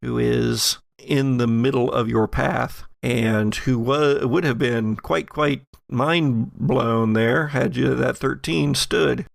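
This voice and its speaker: A man narrating a horror tabletop game as game master, speaking in a halting, deliberate way and repeating words for emphasis. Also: medium pitch, fairly even intonation, gravelly, no echo.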